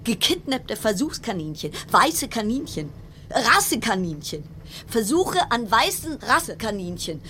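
An actress in a German radio play voicing a frayed, suspicious cruise ship passenger in a brooding monologue of broken-off, repeated phrases. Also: female voice, a little high, big pitch swings, unhurried, pushed and bright, a little hiss or hum, full character voice. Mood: agitated, paranoid. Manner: fragmented, broken-off sentences, repeating words. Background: music.

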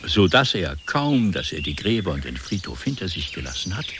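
Very deep, lively male radio-play narrator. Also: brisk, background noise.

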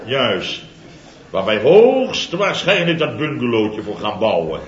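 An actor playing a boastful middle-aged contractor, telling a comic story in a theatrical, chatty way for a 1970s radio comedy serial. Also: male voice, medium pitch, sing-song, slow, roomy sound, dull audio, background noise. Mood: amused.

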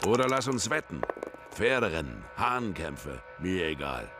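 A man's voice: deep voice, very animated, unhurried, bright, forceful tone, soft background music, full character voice. An actor playing a male tempter luring someone to gamble in a German radio drama, with a coaxing manner.

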